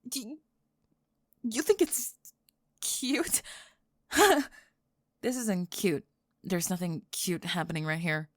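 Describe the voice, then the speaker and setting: Woman acting as a low-voiced, prickly goth girl. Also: very animated, dry recording, clean studio sound, exaggerated voice. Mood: flustered, rambling. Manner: sarcastic, defensive, halting and stammering when flustered. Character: prickly, flustered goth girl student hiding a crush. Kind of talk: ASMR roleplay acting, one-sided dialogue.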